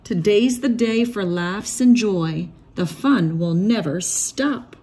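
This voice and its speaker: Slow-paced woman reading a children's storybook. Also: mid-range voice, warm tone, husky. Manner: measured, rhyming storytelling delivery. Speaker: read-aloud storybook reader. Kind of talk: expressive children's picture book read-aloud.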